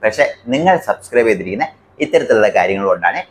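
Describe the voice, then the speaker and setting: A man's voice: lowish voice, sing-song, rapid-fire, slight background noise. A man from India with a Malayali accent explains the news to viewers as a commentary host, emphatic and point by point.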